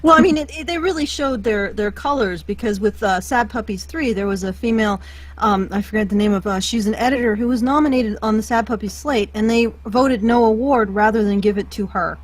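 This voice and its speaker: A woman's voice: on the high side, fairly quick, no echo, low-quality recording. A woman hosting a casual podcast chat, talking hesitantly with many fillers and restarts.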